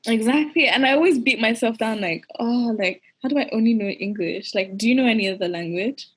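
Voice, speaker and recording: A young woman, a podcast guest, chatting in an animated, giggly way and peppering her speech with "like". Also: on the high side, a little fast, slightly rough. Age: young adult. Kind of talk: casual interview chat.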